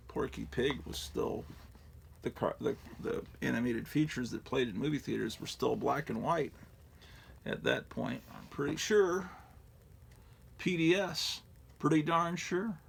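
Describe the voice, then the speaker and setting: A man's voice: medium pitch, unhurried, slight background noise. A middle-aged man in a casual, rambling show-and-tell, profane and digressive with a self-mocking streak. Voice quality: gravelly.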